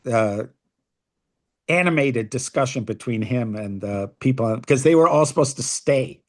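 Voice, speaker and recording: A middle-aged man shares memories on a podcast, with a voice of ordinary pitch. He speaks in a halting way, restarting sentences and reaching for words as he goes. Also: expressive. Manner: halting, searching for words with many fillers. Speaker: podcast co-host, rabbi. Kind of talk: casual reminiscing conversation.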